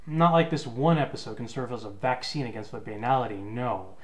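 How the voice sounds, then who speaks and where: A man's voice: mid-range voice, a little fast. A man giving sarcastic review commentary, wry and wordy in his complaints. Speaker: comic reviewer.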